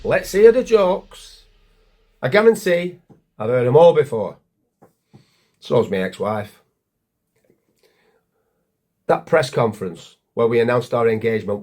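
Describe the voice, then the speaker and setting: An actor playing a weary, bitter, divorced former Lord Mayor in a wry, confiding comic monologue. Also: male voice, a little high, a little mellow, heavily acted. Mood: indignant, defensive.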